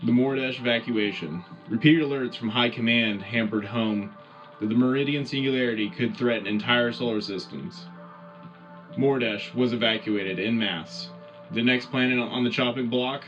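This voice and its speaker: A man's voice: mid-range voice, normal speed, fairly bright tone, muffled recording, faint music behind, natural voice. A man playing an earnest wartime radio host, delivering scripted war news in a dramatic, punchy, rallying way. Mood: grave, urgent.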